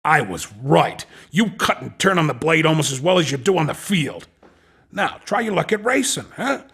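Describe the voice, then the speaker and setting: A man voicing a slick, pushy underground race recruiter in a video game, with a raspy voice and cocky, insinuating salesman patter. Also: a little high, big pitch swings, normal speed, fairly bright tone, full character voice. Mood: slick, enticing. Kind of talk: video game character dialogue.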